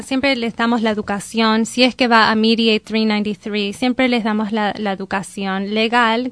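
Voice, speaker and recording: A woman working in family mediation, explaining legal help as a guest in an interview, pausing often and slipping in English terms. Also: on the high side, a bit bright, clear, resonant tone, dry recording. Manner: hesitant, many restarts, mixes in English terms.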